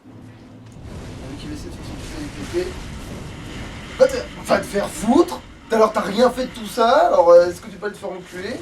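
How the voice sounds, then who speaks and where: High-voiced sweary man gaming, distant mic. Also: very animated, normal speed, pauses often. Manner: sweary, grumbling. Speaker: let's play gamer. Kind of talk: casual gaming commentary while playing. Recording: distant mic, faint background noise.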